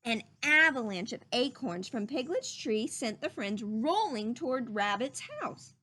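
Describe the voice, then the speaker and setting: A woman reading a children's story aloud in an animated, emphatic, teacherly way. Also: a little high, a little slow. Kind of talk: expressive read-aloud narration with teacherly questions to listeners.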